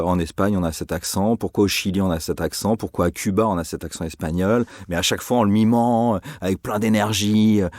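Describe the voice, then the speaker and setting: A journalist recounting a trip in a podcast interview, hesitant, with many fillers and restarts. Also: male voice, deep voice, darkish tone, dry recording, studio quality. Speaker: journalist guest. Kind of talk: podcast storytelling.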